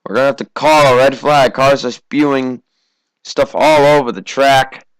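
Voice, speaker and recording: A teenage American man from Massachusetts giving casual, conversational amateur racing commentary, his voice slightly hoarse from a cough. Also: medium pitch, steady tone, moderate pace, no room sound, rough recording. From Massachusetts, United States. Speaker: race commentator.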